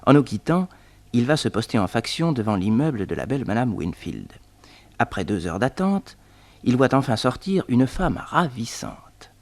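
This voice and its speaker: A man's voice: medium pitch, a little mellow. A man playing a young detective who tells his adventure with suspense, in a 1960s radio serial. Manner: storytelling, suspenseful, addressing listeners. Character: jaunty young male detective telling his own adventure.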